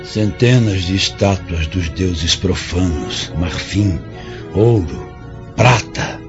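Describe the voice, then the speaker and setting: Condemned rebel, Brazilian accent, bitter, solemn, declamatory radio drama, lo-fi, clear music behind. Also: male voice, low-pitched, expressive, takes it slowly. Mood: bitter indignation. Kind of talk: radio drama acting in a historical serial.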